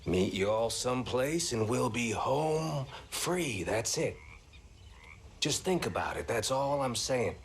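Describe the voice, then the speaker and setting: An actor playing a coaxing, scheming outlaw, insistent and hushed, in a video game. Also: male voice, medium pitch, animated, slow, a little hiss or hum. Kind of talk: video game cutscene acting.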